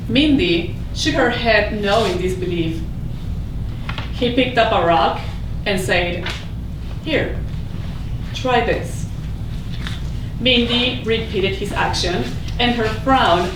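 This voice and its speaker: A woman's voice: mid-range voice, slow, long pauses. A woman reading scripted, storybook-style narration aloud at a staged play reading. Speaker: stage reader. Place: indoor room at a staged reading. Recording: echoey, distant, noisy stage recording.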